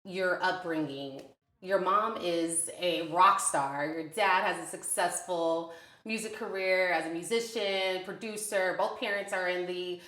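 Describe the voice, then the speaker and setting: Encouraging host, lowish voice, echoey room. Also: female voice, unhurried, pushed and bright. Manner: encouraging, with frequent "mm-hmm" and "yeah". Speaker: interview host. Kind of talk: casual interview.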